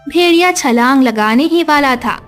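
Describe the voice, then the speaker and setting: A woman's voice: high-pitched, lively intonation, normal speed, fairly bright tone, faint music behind. A woman narrating a scripted moral story for a cartoon, as a storyteller.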